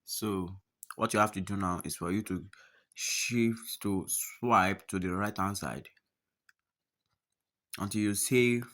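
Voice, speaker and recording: Male tutorial host, low pitch, bright forceful voice, step-by-step instructions, repetitive phrasing. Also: steady tone, slow pace, long pauses. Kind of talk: step-by-step app tutorial narration.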